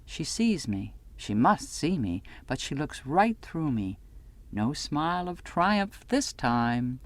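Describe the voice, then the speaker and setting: Expressive woman narrator, very dry sound. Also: sits low, slow pace, dry recording. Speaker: audiobook narrator. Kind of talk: scripted first-person literary narration.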